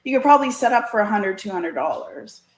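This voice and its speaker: A woman's voice: mid-range voice, animated, quick pace. A woman in casual conversation as an interview guest, chatty and folksy, often breaking into laughter. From Canada. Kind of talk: casual chat. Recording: roomy, echoey sound.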